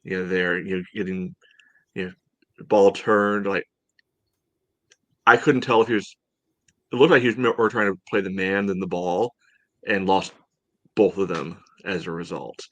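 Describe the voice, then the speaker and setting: Man, deep voice, soccer podcast host, casual analysis, halting delivery full of filler phrases, dry recording. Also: fairly even intonation, a bit bright, no room sound. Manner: halting, peppered with "you know". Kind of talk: casual match analysis chat.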